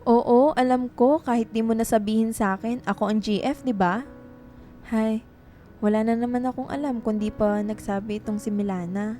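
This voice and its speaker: A woman plays a young writer with a melancholy streak in a radio drama. The voice is soft-toned and mellow, slightly high, over faint background music. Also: fairly even intonation, normal speed, natural voice. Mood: annoyed.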